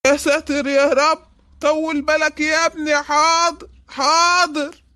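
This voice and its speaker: A man voicing a doddering, kindly old Egyptian grandfather in a comic cartoon, fussy and affectionate, with drawn-out exclamations. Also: very high voice, little pitch movement, pushed and bright, dry recording, studio quality, full character voice. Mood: exasperated. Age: older.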